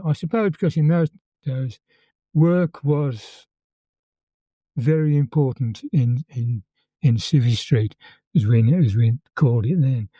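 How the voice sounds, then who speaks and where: A man in his eighties with a Birmingham accent, calmly recalling old times as an interview guest and often repeating words as he tells his story. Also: mid-range voice, slow, pauses often, dry recording. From England. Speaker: interview guest, former conscript. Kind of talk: reminiscing in conversation.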